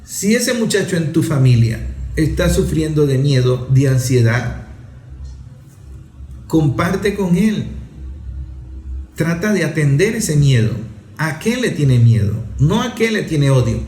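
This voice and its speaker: Venezuelan male journalist host, emphatic and repetitive commentary delivery, slightly high voice. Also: big pitch swings, takes it slowly, long pauses, darkish tone. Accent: Venezuelan Spanish. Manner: emphatic, repetitive rhetorical phrasing. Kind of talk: political opinion commentary. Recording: roomy echo, faint music underneath.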